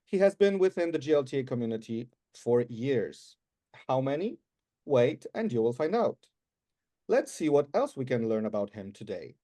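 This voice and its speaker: A man's voice: medium pitch, big pitch swings, normal speed, dark tone, dry recording. A man co-hosting a podcast, introducing the guest and asking questions in an enthusiastic, scripted way. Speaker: podcast co-host.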